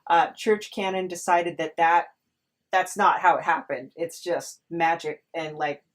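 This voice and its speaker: A woman's voice: lowish voice, steady tone, unhurried. A woman hosting a podcast in casual conversation, with a hesitant, wry way of talking. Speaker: podcast host. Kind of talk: casual interview chat.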